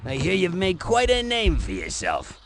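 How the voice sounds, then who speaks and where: A man playing a cocky, joking goblin zeppelin pilot with a gravelly voice in an animated game. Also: sits high, normal speed, full character voice. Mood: breezy, teasing. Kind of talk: animated adventure game voice acting. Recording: clear background noise.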